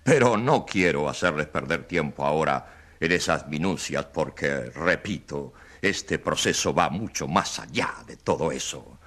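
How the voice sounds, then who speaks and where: Bombastic official orator, swooping pitch. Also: male voice, lively intonation, unhurried, fairly bright tone, heavily acted. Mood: fervent, rousing. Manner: bombastic, declamatory. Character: pompous male government official, demagogic and indignant. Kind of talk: radio drama courtroom oratory.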